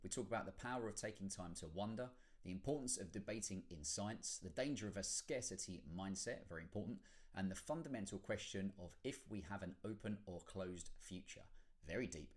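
The fairly deep-voiced bloke who opens a weekly podcast by joking at his own expense before the serious guest comes on. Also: a little fast. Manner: tongue-in-cheek, self-deprecating. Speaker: podcast host. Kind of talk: casual scripted podcast introduction.

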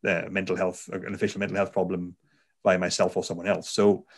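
Low-voiced Welsh man, chatty. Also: level tone, fairly quick. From South Wales. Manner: chatty, frequent fillers and restarts. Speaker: author, neuroscientist. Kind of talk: conversational interview.

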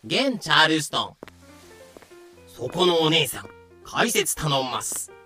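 Male narrator for audio drama: slightly high, crisp-edged bright tone, unhurried dramatic read. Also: sing-song, faint music behind.

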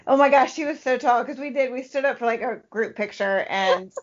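A woman's voice: fairly high pitch, brisk. A woman co-hosting a podcast, telling stories in a casual, chatty way with "like" sprinkled all through. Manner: chatty, uses "like" constantly. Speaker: podcast co-host. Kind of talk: casual conversational storytelling. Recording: dull lo-fi podcast audio.

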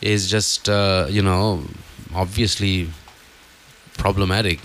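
A man's voice: low voice, steady tone, takes it slowly, a bit bright, a little hiss or hum. A man co-hosting a breakfast radio show, chatting casually with a rambling, laughing manner.

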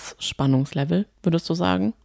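Low-voiced woman chatting on a podcast. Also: fast talker, creaky, darkish tone, no room sound, studio quality. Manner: chatty, responsive listener who laughs easily. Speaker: podcast co-host. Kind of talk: casual chat.

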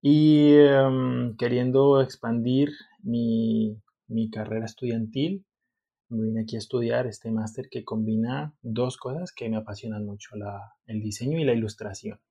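A man's voice: mid-range voice, steady tone, unhurried, vocal fry, warm tone, husky, highs cut off. A young man from Colombia, co-hosting a podcast in casual chat, joking and playful. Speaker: podcast co-host.

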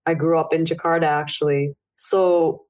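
A young woman from Indonesia talks about her work as a podcast guest. She speaks in a low voice and explains at length in an earnest way. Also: flat delivery, normal speed, a little mellow. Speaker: startup founder guest. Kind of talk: casual interview answers.

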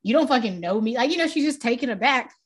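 A woman's voice: lively intonation, quick pace, breathy edge. Chatty woman podcast host, close mic. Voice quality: pitchy and a little grating, by her own account. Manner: chatty, loose and profane, laughs often. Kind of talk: casual podcast chat. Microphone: close desk mic.

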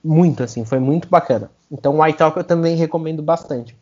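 Brazilian male co-host, animated storyteller, slightly high pitch, muffled lo-fi sound. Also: quick pace. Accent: Brazilian Portuguese. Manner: chatty, animated storyteller, often adds "né?". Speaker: podcast co-host. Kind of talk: casual chat, personal anecdote. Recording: dull lo-fi sound.

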